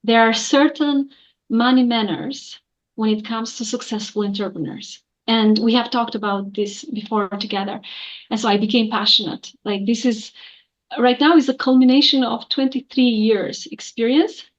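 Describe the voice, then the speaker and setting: A woman's voice: on the high side, steady tone, moderate pace, highs cut off. A woman, an accountant answering earnestly in an interview with many fillers. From Albania. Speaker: guest, accountant.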